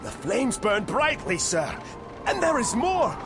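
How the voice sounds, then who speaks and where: Excitable dwarf scout, very high voice, swooping pitch, theatrical, game cutscene. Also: male voice, measured pace, full character voice. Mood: alarmed. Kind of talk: video game cutscene acting. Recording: loud music behind. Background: loud music.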